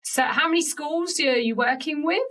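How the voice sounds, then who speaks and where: Bright, high-voiced woman interviewing. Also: moderate pace, recorded from a distance. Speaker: podcast host, interviewer. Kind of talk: conversational interviewing.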